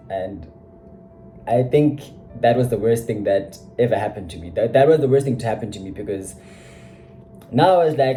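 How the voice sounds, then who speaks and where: A man's voice: mid-range voice, dark tone, a little hiss or hum, low-quality recording. A young man, casually recounting his school years, reflective and self-deprecating, with frequent "you know" asides. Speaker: vlogger. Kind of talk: casual personal storytelling.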